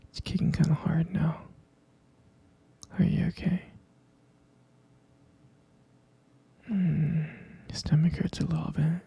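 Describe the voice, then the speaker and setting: A man playing a tender, devoted husband in an intimate ASMR roleplay, speaking slowly and hesitantly in a soft, breathy, creaky whisper. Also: fairly high pitch, heavily acted. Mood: gentle, caring. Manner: slow, soft, hesitant, doting murmur. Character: tender, devoted husband comforting his pregnant partner.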